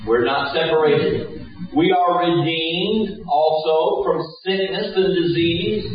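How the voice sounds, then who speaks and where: A man's voice: a little high, slow pace, soft background music. A man preaching a sermon as pastor, with a Southern accent and a sing-song cadence, calling out for amens. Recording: echoey hall, distant mic, dull sound.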